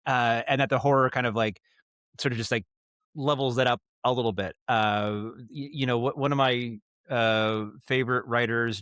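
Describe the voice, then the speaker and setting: A man's voice: medium pitch, a bit bright, clean tone, no room sound. Man talking casually in dry close sound. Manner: rambling and thoughtful, circles back and rephrases. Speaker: podcast guest, writer and audio drama director. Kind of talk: casual interview talk.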